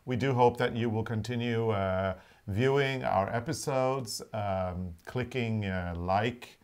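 A man's voice: lowish voice, takes it slowly, a little mellow, clear, resonant tone. A middle-aged man co-hosting a knitting channel, chatting playfully to camera in a living room, with the camera on the table. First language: Norwegian. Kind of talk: casual chat to camera.

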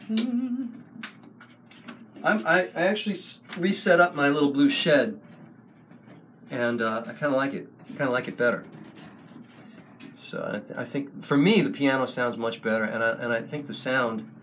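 An older man hosting a casual livestream from a small room, talking slowly into a close webcam, rambling with many ums and restarts. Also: medium pitch, slight background noise. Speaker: online service host and songwriter. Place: small room before a wooden wall, indoors. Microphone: webcam at close range.

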